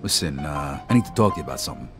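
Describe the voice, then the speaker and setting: An actor playing a young American mobster, tough, weary and loyal, plain-spoken and streetwise, in a crime game. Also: male voice, a bass voice, animated, rapid-fire, music in the background. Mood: businesslike. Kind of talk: cinematic crime game dialogue.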